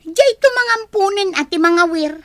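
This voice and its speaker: A woman's voice: very high-pitched, expressive, normal speed, fairly bright tone, no room sound, studio quality. An actress playing a hard-pressed, stubborn young mother in a radio drama, defensive and matter-of-fact.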